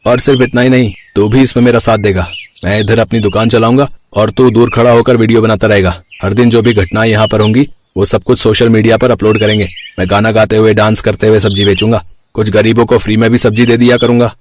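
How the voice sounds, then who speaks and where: A man's voice: fairly low pitch, fairly even intonation, fast, a little hiss or hum, lightly acted. A man voicing an earnest, determined young village vegetable seller in a cartoon story, speaking in resolute declarations. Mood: eager, confident.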